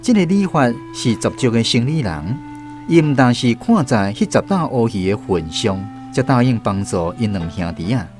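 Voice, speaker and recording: A man's voice: medium pitch, lively intonation, normal speed, darkish tone. A man with a Taiwanese accent narrating a radio drama as a scripted storyteller. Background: music.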